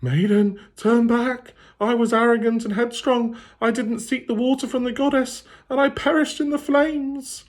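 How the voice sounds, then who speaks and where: A man's voice: very high-pitched, steady tone, moderate pace, hardly any pauses, pitched above the performer's own voice. A man voicing a remorseful ghost in a storybook read-aloud, wailing a warning. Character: regretful ghost, mournful and pleading.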